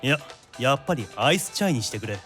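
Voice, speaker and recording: An actor playing a haughty, dry-witted young detective in an audio drama, giving orders in a brisk deadpan. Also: male voice, mid-range voice, faint music behind, natural voice. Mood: playfully demanding. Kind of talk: light audio drama acting.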